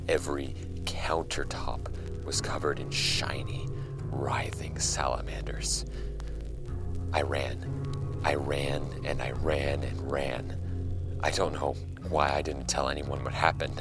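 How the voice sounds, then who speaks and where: An actor playing a case archivist who narrates eerie witness files in a measured, hushed, deliberate horror audio drama style. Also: male voice, a bass voice. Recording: music under the voice. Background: music.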